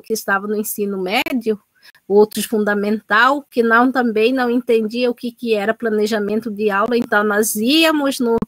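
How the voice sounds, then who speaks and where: Middle-aged woman, researcher, halting unscripted delivery, mid-range voice, dry close sound. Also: mid-range voice, fairly bright tone, no echo. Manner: hesitant, many restarts and repetitions. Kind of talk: unscripted talk at an online event.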